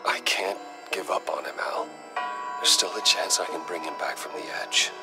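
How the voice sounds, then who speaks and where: An actor playing a brooding, determined American vigilante in a video game, speaking tersely and gravely. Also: male voice, low-pitched, steady tone, moderate pace, close to an everyday voice. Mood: troubled. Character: brooding, determined masked vigilante, a grown man. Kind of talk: dramatic video game acting. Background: faint background music.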